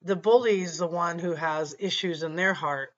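A middle-aged woman with a slightly raspy voice, telling a personal faith story earnestly to her webcam at home. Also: fairly low pitch, normal speed, lo-fi sound. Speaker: channel host. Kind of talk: faith-based personal talk to camera. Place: small room at home, indoors.

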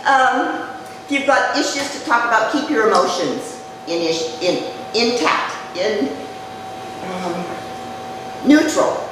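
An older woman giving a chatty public talk to a live audience, her voice dropping low as she speaks. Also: takes it slowly, pauses often. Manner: conversational, chatty, jokes with the audience. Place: community event room. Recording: echoey hall, mic far off, noisy.

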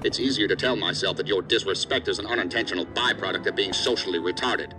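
An actor playing an angry, stern assistant director in an audio drama, scolding and swearing. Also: male voice, low voice, fast, lightly acted. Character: angry, hard-bitten male assistant director of investigative agency.

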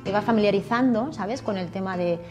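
A woman's voice: medium pitch, fairly quick, over loud music. A woman in her thirties from Catalonia, a flamenco club member, chatting loosely in a documentary interview inside a club hall. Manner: chatty, many fillers.

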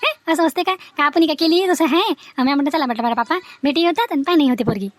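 Cartoon village matron, played by a woman: high voice, folksy, chatty and boastful, rural Vidarbha Marathi accent. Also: quick pace, dry recording, natural voice. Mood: persuasive, boastful. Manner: talkative, folksy, repeats herself. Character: chatty, boastful older village woman arranging a family match. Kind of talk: Marathi cartoon comedy acting.

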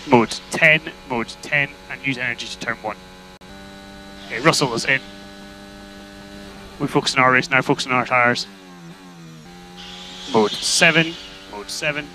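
Clipped, bright-voiced male race engineer on radio. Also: mid-range voice, measured pace, pauses often. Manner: terse, clipped, repeats instructions. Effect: team radio. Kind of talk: motor racing team radio instructions. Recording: noisy team radio with electrical hum.

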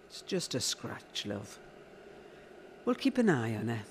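A woman playing a warm, fussing, teasing middle-aged mam in a staged audio play. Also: very deep voice, sing-song, measured pace, slight background noise, full character voice. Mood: caring, brisk. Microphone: handheld-type vocal mic on stand.

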